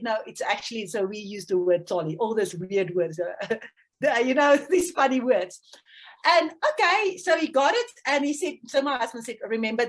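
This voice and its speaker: High-voiced Afrikaans-woman coach. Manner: warm storytelling, laughs often, checks in with "okay". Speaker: parenting coach. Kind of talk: interview guest explaining.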